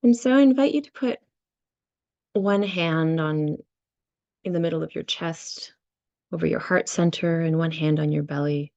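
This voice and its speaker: A woman leading a guided visualization and meditation, speaking gently and unhurriedly in short phrases with many pauses. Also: sits low, gravelly, dry recording, lo-fi sound. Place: online video session.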